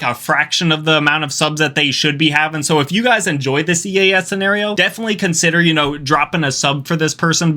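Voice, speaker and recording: Bright-voiced man, slangy and joking. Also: a little high. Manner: joking, slangy, bro-heavy talk. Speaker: YouTube host reacting to horror videos. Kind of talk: casual reaction commentary.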